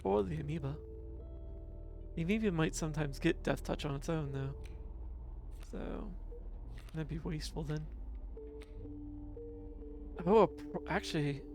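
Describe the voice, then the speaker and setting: Slow, gravelly man musing over a game. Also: a little high, darkish tone, soft background music. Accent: mixed. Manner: slow, musing, chuckling to himself. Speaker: streamer. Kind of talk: casual game commentary.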